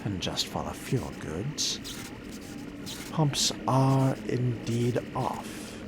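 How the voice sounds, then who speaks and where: A man's voice: mid-range voice, lively intonation, extremely slow, heavy background noise. A man playing a weary, sardonic fledgling vampire in a video game roleplay, muttering dryly to himself. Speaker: solo game player.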